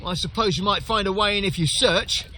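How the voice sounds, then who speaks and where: A man's voice: high voice, brisk, pushed and bright, slight background noise. A man playing a weary, wary guard at a refugee camp in a fantasy game, blunt and exasperated. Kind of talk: fantasy video game character acting.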